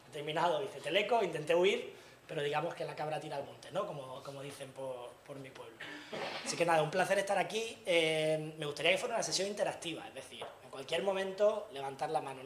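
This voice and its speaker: A man's voice: fairly high pitch, echoey room, slight background noise. Man from Granada giving a chatty talk. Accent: Andalusian Spanish. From Granada, Spain. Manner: chatty, asks the audience questions, frequent tag questions. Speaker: invited speaker. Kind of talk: conference talk to students. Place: university lecture hall.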